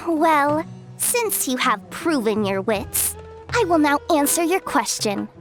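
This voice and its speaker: Snooty little game mushroom, high and slow, swoopy pompous talk. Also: female voice, big pitch swings, soft background music, heavily acted. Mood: solemn, pompous. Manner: pompous, formal, condescending. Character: haughty talking mushroom sage's servant, testy riddle-giver.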